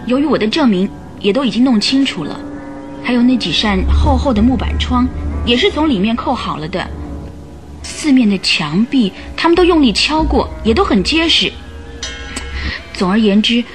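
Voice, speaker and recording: A woman's voice: a little high, sing-song, moderate pace, faint music behind. An actress in a radio drama playing an earnest, troubled young woman who gives careful, emotive accounts. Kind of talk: old Chinese radio drama acting.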